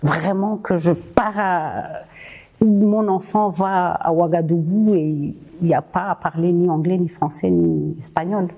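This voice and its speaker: A Rwandan-born woman hosts her own podcast in an earnest, rallying monologue. She talks slowly and often hesitates or repeats words. Her voice sits a little low and sounds mellow and husky. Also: fairly even intonation. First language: Kinyarwanda. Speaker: podcast host.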